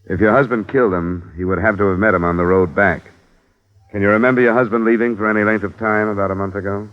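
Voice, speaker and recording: An actor plays a hard-boiled investigator who narrates and questions in a terse, matter-of-fact way. His voice is very low, and it comes through a muffled old radio broadcast. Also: steady tone, fast, close to an everyday voice. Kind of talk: 1950s radio drama narration.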